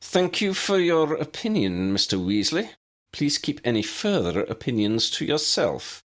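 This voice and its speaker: A man voicing a stern, sharp older headmistress in an audiobook, formal, clipped and scolding. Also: a little high, very animated, unhurried, voice pushed up.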